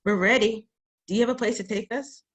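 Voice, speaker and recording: A woman's voice: a little high, steady tone, fairly quick, close to an everyday voice. A frightened girl of thirteen, played by a woman, speaks in a screenplay table read. She comes across as wary and urgent, a young refugee.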